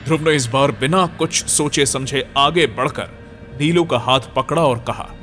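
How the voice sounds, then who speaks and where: A man's voice: medium pitch, unhurried, fairly bright tone. A man narrating a scripted horror story dramatically. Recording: music bed behind.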